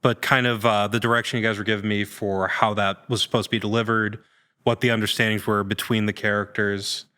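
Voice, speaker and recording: A man speaking casually as an actor guest, pausing often as he searches for words. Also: lowish voice, flat delivery, bright, forceful tone. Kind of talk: casual interview chat.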